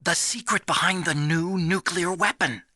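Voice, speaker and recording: An actor playing a nerdy, excitable computer hacker in a video game, earnest and eager as he explains things. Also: high voice, normal speed, dry recording, studio quality, full character voice. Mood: urgent, explanatory. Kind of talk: dramatic video game voice acting.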